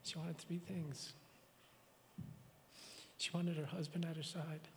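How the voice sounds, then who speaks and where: A man with a raspy voice giving a halting, emotional memorial address and reading scripture in a church. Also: a little high, flat delivery, in a large hall, slight background noise, rough recording. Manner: halting, tearful, repeats words. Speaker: speaker at a memorial service.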